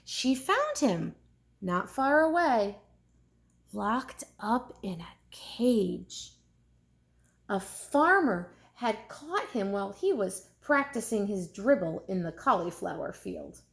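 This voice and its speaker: A woman reading a storybook aloud like a teacher, expressive and deliberate for young listeners. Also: mid-range voice, gravelly.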